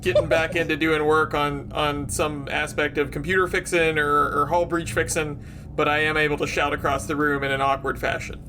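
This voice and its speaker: A man's voice: fairly high pitch, flat delivery, normal speed. A man chatting casually as a player at a tabletop role-playing game.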